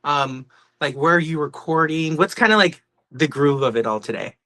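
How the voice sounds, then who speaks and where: A male podcast host with a fairly high voice and a bright, crisp edge. He asks his questions in a casual chatty style, and the sound is close and dry. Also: animated, normal speed, no echo. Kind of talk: casual interview chat.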